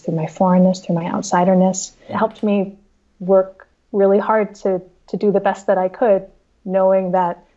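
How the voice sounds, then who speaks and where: A woman's voice: on the low side, mellow, dull audio. A woman in her thirties, an author answering reader questions from her home over a video call, thoughtful and often restarting her sentences. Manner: thoughtful, with frequent restarts and repeated words. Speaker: author guest. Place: home room with bookshelves. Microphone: video call with earbuds.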